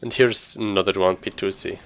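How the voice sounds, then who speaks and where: A man giving casual live commentary on his own video game run, thinking aloud in a halting way. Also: sits low, fairly even intonation, fairly bright tone. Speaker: speedrunner commentating own gameplay.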